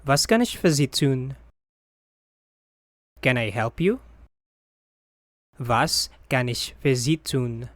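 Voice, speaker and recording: Very slow, dry phrase-drill voice. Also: medium pitch, animated, no echo. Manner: slow, deliberate repetition of each phrase. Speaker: language teacher.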